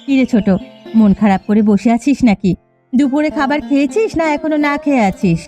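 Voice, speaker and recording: Sensible village sister-in-law, female actor, coaxing and gently scolding cartoon delivery, faint music behind. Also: high-pitched, lively intonation, fairly quick. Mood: concerned, coaxing. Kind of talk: Bengali cartoon fairy-tale acting.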